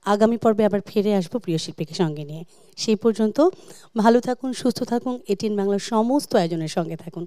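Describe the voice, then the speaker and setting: A woman's voice: medium pitch, fast, dark tone, no echo. A woman with a Bangladeshi accent presenting a television music show in a gracious, scripted style.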